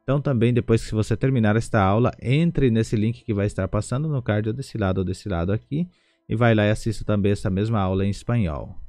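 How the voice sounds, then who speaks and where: A man with a Brazilian Portuguese accent teaching an online language lesson, slowly repeating each word syllable by syllable. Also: fairly low pitch, dark tone, no room sound, clean studio sound.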